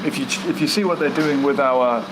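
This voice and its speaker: A man's voice: mid-range voice, fairly quick. A man in a casual discussion, asking questions and doubting what he hears. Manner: skeptical, questioning. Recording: audible background noise.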